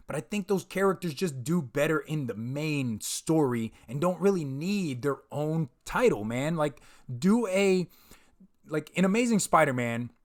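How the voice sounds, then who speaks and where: A man hosting a live comic review stream in casual chat, laid-back and slangy, often stumbling and repeating words. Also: on the high side. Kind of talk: casual livestream chat, reading viewer comments.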